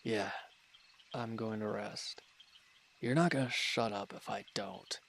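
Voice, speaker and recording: A man playing a wounded, irritable villain in an audio roleplay, gruff and curt but grudgingly caring, with an exasperated, grumbling delivery. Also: on the low side, slow pace, a bit bright, heavily acted. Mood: pained, grumbling. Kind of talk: scripted audio roleplay acting.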